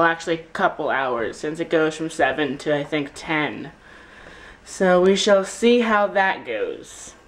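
A man's voice: high-pitched, fairly bright tone. A teenage boy with a stuffy, nasal voice from a cold, chatting in a rambling, self-deprecating daily vlog to a camera held at arm's length. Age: teens. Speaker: vlogger. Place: rooms of a house and outdoors. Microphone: handheld camera at arm's length.

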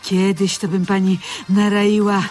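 An actress playing a wistful, philosophizing woman in a radio drama, dreamy and deliberate. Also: medium pitch, little pitch movement, a little mellow, close to an everyday voice. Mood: earnest, wistful.

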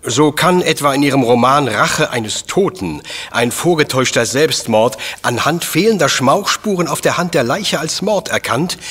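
Radio-drama professor sleuth: shrewd, formal, slightly rough-voiced, animated pitch, exact and coolly polite. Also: male voice. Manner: precise, formal, pedantic. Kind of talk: German radio drama acting.

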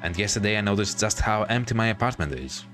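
A man with a very low voice narrates a visual novel for a gaming video. His delivery is drawn-out and dramatic, with chatty asides. Soft game music plays behind him. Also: expressive. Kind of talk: reading a visual novel aloud with casual commentary.

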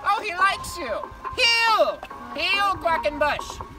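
Very high, doting pet owner. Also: male voice, lively intonation, slow, distant mic, loud music behind the voice, exaggerated voice, rough recording. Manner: coaxing pet-owner talk. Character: doting, daft man walking a wooden duck as dog.

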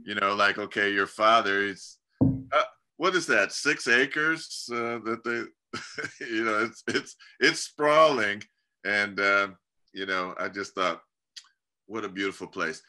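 A man's voice: fairly low pitch, slow, sharp tone. A middle-aged man from California hosting a community video call, chatting casually with many restarts and fillers, speaking from a home study over a webcam. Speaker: host of church community video-call talk. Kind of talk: casual interview chat. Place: home study lined with bookshelves.

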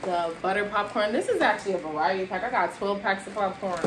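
A woman's voice: medium pitch, animated, fast. A young woman chatting casually to her viewers while unpacking groceries in a small apartment kitchen, filmed by a camera across the room and sounding a bit tired. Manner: chatty, addresses viewers as y'all, moving around while unpacking bags. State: tired and sweaty. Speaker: vlogger showing a grocery haul. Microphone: camera at a distance across the room. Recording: echoey kitchen with background noise.

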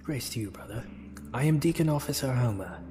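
A man's voice: medium pitch, expressive, a little fast, natural voice. A man voicing a courteous British monk in an audio drama, speaking formally. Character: courteous British monk, a church deacon officer. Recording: long monastery reverb, music behind.

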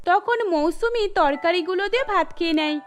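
A woman's voice: extremely high pitch, normal speed. A woman narrator reading a scripted cartoon story. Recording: music bed under the voice.

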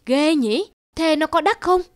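A woman's voice: high voice, expressive, unhurried, dry recording, clean studio sound. A woman voicing a stingy, bossy middle-aged rich landowner, grumbling and commanding, in a cartoon folk tale. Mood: curious, astonished.

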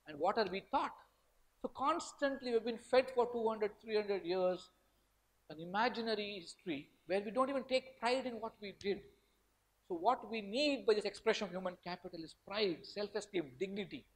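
A middle-aged man from Karnataka with an Indian English accent, giving an emphatic guest lecture to students on stage in a large hall. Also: high-pitched. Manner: passionate, emphatic, rises and falls in loudness, gestures while speaking.

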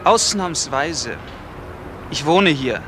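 An actor playing a defiant, evasive gang member who answers curtly in a German crime radio play. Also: male voice, on the high side, expressive, drawn-out words. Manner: curt, defiant. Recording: noisy old radio drama recording.

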